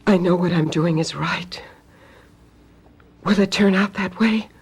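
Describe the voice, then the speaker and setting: An anxious, breathy young woman. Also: fairly low pitch, measured pace, full character voice. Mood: anxious, hushed. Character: anxious young woman seeking occult guidance, earnest. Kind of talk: radio drama acting.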